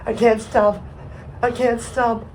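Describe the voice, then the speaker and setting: A man voicing a hyper, cocky nerve cell in an educational comedy skit, with an excitable swagger. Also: very high voice, slow, a little hiss or hum, voice pushed up, full character voice, poor audio. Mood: excited, cocky. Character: hyper, speed-obsessed oligodendrocyte cell, cocky.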